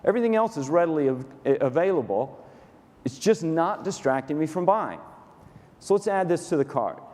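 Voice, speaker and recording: A man with a Texas accent, a company COO walking through a software demo onstage in a dry, matter-of-fact, methodical way. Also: fairly high pitch, animated, moderate pace. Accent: Texan. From Texas, USA. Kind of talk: staged product demo presentation. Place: conference stage in a large hall. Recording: reverberant conference hall.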